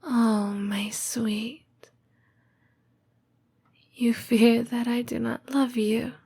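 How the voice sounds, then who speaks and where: A woman voicing a tender, lonely lamia girlfriend in a soft, breathy, whispery ASMR roleplay, speaking hesitantly and intimately with long pauses. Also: a little high, steady tone, heavily acted. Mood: tender, reassuring.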